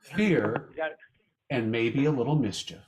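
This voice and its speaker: A man announcing a radio show in a measured, theatrical, scripted style. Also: mid-range voice, background noise.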